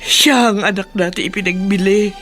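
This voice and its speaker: A woman's voice: medium pitch, steady tone, normal speed, a bit bright, natural voice. A woman acting an anxious, guilt-ridden mother with a fearful, weighted delivery in a radio drama. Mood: shaken. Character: anxious, guilt-ridden mother who sold her child. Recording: music behind studio drama voice.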